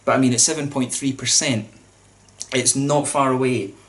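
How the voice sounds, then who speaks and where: A man's voice: mid-range voice, steady tone, normal speed. A man, chatting casually to camera as he reviews a beer, with lots of fillers and restarts. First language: English.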